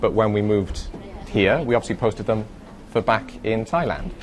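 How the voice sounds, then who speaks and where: A man's voice: on the low side, normal speed. A man interviewed in a studio as a guest, chatty, a little hesitant and wry. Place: broadcast studio.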